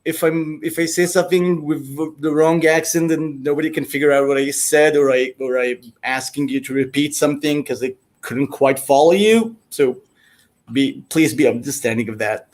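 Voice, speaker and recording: A man's voice: on the high side, normal speed, darkish tone. A man from Israel with an Israeli accent, a Hebrew native speaker, who speaks hesitantly with many fillers in an online debate.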